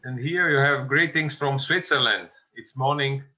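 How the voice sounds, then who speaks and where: A man attending an online class who speaks slowly and in a friendly way. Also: medium pitch, bright, forceful tone. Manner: unhurried, friendly, laughing lightly. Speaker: student. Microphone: video call. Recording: dull video call audio.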